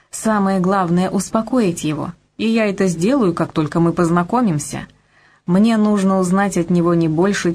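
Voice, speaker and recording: A woman reads literary prose aloud in a measured, expressive way. Her voice sits on the low side and lifts noticeably whenever the text asks a question. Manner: measured, expressive reading, lifting the pitch on questions. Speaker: audiobook reader. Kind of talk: scripted literary narration.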